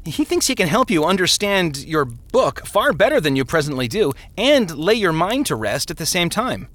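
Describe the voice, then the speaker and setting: Guy doing a scolding mom in an audiobook, higher voice, reproachful and clipped. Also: sing-song, normal speed, a bit bright, dry recording. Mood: firm. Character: exasperated, scolding wife and mother.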